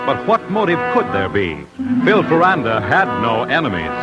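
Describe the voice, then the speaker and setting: A man's voice: mid-range voice, sing-song, normal speed, muffled recording. An American man narrating an old radio crime show in punchy, suspenseful phrases. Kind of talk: dramatic 1940s-50s radio crime narration. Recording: old radio broadcast with music underneath.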